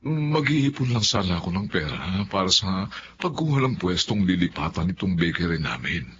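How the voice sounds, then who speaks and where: A man's voice: low voice, very animated, moderate pace, a bit bright. An actor playing a humble, middle-aged Filipino father in a TV drama, speaking earnestly and deferentially. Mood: pleading, grateful. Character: humble hardworking bakery-owning father, pleading and hopeful. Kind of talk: Filipino television drama acting. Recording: muffled audio with background noise.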